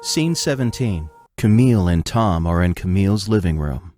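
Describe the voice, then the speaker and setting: A man's voice: deep voice, lively intonation, moderate pace, warm tone, soft background music. A man reading scripted narration and scene announcements for an audio drama.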